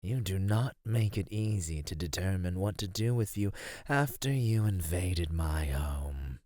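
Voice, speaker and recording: A man playing an ancient cave monster in an ASMR roleplay, husky and low, speaking formally with predatory, teasing menace. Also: animated, measured pace, dark tone, no echo, clean studio sound. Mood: brooding.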